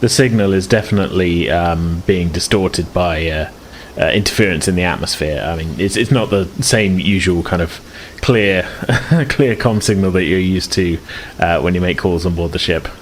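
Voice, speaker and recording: A man's voice: sits low, moderate pace, hardly any pauses, slight background noise. A man working as game master, improvising narration for a tabletop game in a hesitant, hedging way. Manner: hesitant, hedging descriptions with "sort of".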